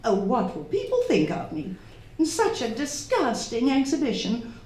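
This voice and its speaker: A woman's voice: on the high side, wildly swooping pitch, darkish tone, slight background noise. A woman playing a domineering, indignant society hostess and would-be medium in a theatrical radio drama. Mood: haughty indignation. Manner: imperious, indignant, scolding, drawn-out theatrical delivery. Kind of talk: live radio drama acting. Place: stage of a live theatre performance. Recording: roomy echoing live stage recording.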